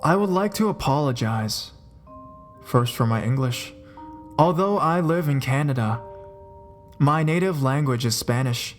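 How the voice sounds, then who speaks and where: A man telling scary stories in a scripted narration, dropping his voice low and slowing down for suspense. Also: expressive. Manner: dramatic, drops low and slows for suspense. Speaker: horror story narrator. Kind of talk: scripted horror story narration. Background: faint music.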